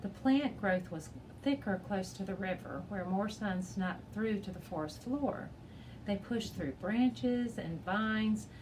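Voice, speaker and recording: A woman reading a children's chapter book aloud as a teacher, in an expressive storybook style. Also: mid-range voice, slight background noise, low-quality recording.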